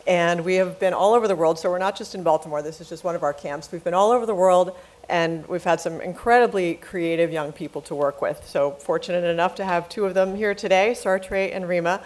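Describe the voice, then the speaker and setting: The woman on an arts summit panel, low-voiced and earnest, informally introducing her youth program to the room. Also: fairly quick, darkish tone. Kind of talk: informal spoken presentation. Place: live panel event before an audience.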